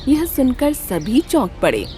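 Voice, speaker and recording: Lively woman narrating a children's story. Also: high voice, unhurried. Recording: music behind the voice.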